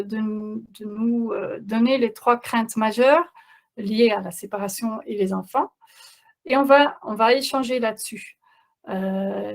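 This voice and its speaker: A woman who is not a native French speaker, a parenting coach giving a webinar presentation, talking hesitantly with many fillers and restarts. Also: on the high side.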